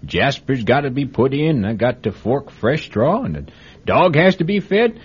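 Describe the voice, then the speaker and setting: A man playing a gruff, practical, weary farmer father with folksy rural speech in a radio drama. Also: mid-range voice, big pitch swings, moderate pace. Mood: exasperated. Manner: folksy, rural turns of phrase. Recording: dull old radio recording.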